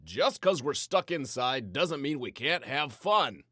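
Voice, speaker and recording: A man voicing an upbeat, mischievous storybook dad with a loud, dramatic, drawn-out delivery. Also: medium pitch, big pitch swings, dry recording, clean studio sound. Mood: cheerful.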